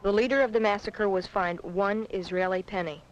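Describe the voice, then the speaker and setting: Woman, documentary narrator, scripted political narration, medium pitch, faint noise of an old film soundtrack. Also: steady tone, normal speed.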